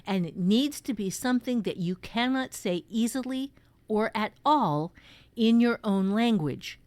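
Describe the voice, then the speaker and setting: A woman teaching a workshop in a conversational, enthusiastic way, explaining step by step with emphatic, expressive delivery. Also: fairly high pitch, unhurried, no room sound. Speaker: writing teacher and workshop host.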